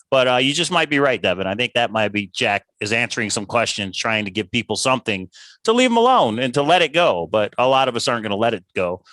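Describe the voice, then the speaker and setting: A man with a mid-pitched, very bright and forceful voice co-hosts a casual livestream. He reasons aloud, questioning and rewording his points. The sound is close and dry. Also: no echo. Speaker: livestream co-host.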